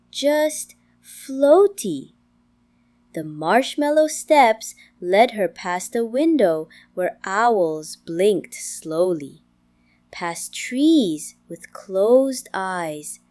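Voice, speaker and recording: Very slow, soothing woman storyteller. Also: mid-range voice. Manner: calm, gentle, unhurried with frequent pauses. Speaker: bedtime story narrator. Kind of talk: slow, soothing scripted bedtime storytelling for toddlers.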